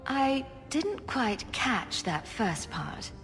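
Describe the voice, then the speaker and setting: A woman's voice: a little high, very animated, drawn-out words, fairly bright tone, full character voice. An actress playing a witty, self-assured mage adventurer in a video game, teasing and dryly playful in her delivery. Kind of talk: dramatic video game acting. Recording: long reverb, music behind.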